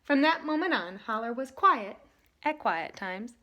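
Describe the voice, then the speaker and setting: A woman reading aloud to children in an expressive style, leaning dramatically on key words. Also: a little high, a little slow, muffled recording. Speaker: storybook reader.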